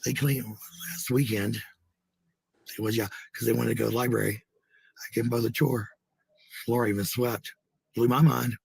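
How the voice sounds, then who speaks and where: A man with a raspy, gravelly voice in casual, rambling chat, blunt and swearing freely. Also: mid-range voice, fairly even intonation, moderate pace, long pauses, slight background noise, low-quality recording.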